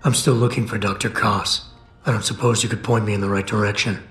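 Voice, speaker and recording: An American actor playing a stoic, gravel-voiced cyborg security operative in a video game, terse and deadpan. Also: male voice, fairly low pitch, fairly quick, natural voice. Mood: businesslike. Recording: roomy game audio with music.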